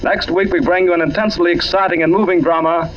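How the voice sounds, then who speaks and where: A man's voice: high voice, steady tone, quick pace. A man announcing in a formal 1940s broadcast style, polished, grandiose and emphatic. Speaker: radio announcer. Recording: muffled 1940s radio recording.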